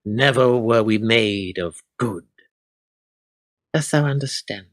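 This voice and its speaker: An actor playing a cruel, menacing middle-aged lord in a radio play, speaking in archaic, theatrical phrases. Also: male voice, medium pitch, slow pace, no echo, studio quality, close to an everyday voice. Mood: sinister, brooding.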